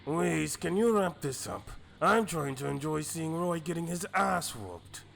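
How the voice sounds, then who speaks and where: A man's voice: fairly high pitch, expressive, measured pace, creaky, a bit bright, exaggerated voice. A man voicing a brash, impatient god of destruction with a casual, blunt, taunting delivery in an anime fan drama.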